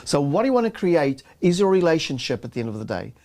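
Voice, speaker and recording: A man's voice: on the high side, sing-song, fast, darkish tone. A man co-hosting a casual business chat, often restarting and repeating words as he talks. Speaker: co-host.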